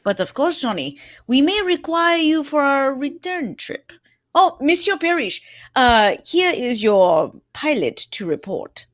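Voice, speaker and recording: A woman's voice: high-pitched, big pitch swings, a little slow, fairly bright tone, no echo, clean studio sound, exaggerated voice. A young woman playing a fussy, self-assured Belgian male detective in a radio mystery reading. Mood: courteous, brisk. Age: young adult. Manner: theatrical, courteous, precise. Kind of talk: live reading of old-time radio mystery script.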